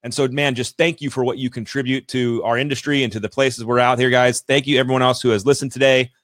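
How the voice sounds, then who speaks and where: Male interview host; eager and gushing, quick restarts, even mid-range voice, dry. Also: medium pitch, no echo. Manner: energetic, effusive, many restarts. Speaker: podcast host. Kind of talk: interview chat.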